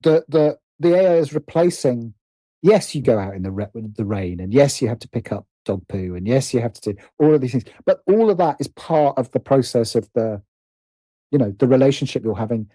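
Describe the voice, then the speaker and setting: A man speaking as an interview guest in a casual, conversational way, animated but halting, often restarting and repeating phrases as he thinks aloud. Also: medium pitch, no room sound, rough recording. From Britain. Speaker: interview guest, writer and producer.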